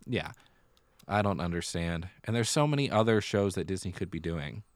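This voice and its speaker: A man's voice: low-pitched, expressive, moderate pace, dry recording, studio quality. A man co-hosting a podcast, chatting casually and giving his opinions in an informal, fannish way. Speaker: podcast co-host. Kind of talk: casual chat about musical theatre.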